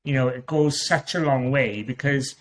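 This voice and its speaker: A man's voice: mid-range voice, moderate pace. A man with a strong Welsh accent, a guest talking casually in a podcast interview, chatty and rambling, with frequent restarts. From Wales. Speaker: guest Amazon seller.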